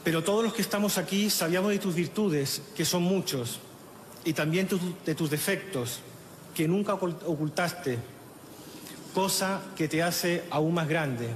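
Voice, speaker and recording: A man's voice: on the high side, slight background noise. Solemn Chilean man giving a eulogy. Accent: Chilean Spanish. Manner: solemn, measured, with halting restarts. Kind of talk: funeral eulogy, read aloud. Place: outdoor funeral gathering. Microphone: lectern microphone. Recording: echoey, reverberant outdoor sound with faint ambience.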